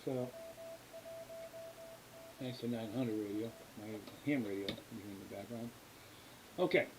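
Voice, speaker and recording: An American man hosting a casual explanatory livestream, talking in a halting, rambling, folksy way. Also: mid-range voice. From New England, US. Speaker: livestream host, radio scanner hobbyist. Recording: hissy, clearly noisy background.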